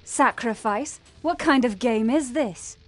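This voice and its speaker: Haughty, high-voiced demoness. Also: female voice, takes it slowly, faint music behind. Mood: defiant. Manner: haughty, dramatic. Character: proud, defiant female demon devoted to her love. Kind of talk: fantasy game cutscene acting.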